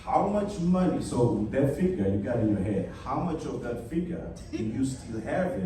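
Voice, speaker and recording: A man's voice: mid-range voice, big pitch swings, normal speed. Man from Tanzania, panel speaker, talks a crowd through numbers; far mic, echoey hall, audience behind. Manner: leads the room through a number exercise, checking in often. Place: event hall.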